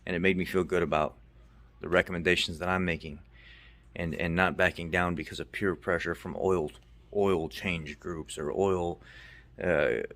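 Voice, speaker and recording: A man's voice: deep voice, steady tone, moderate pace, fairly bright tone. A middle-aged man giving a casual, unscripted recap as a mechanic hosting a video, stressing his points by repeating them. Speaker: car maintenance video host, mechanic.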